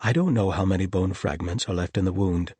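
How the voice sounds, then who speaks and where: A man's voice: fairly quick, darkish tone, husky, dry recording. A man voicing an anxious young male healer who talks in a worried, strained way. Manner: worried, rising pitch.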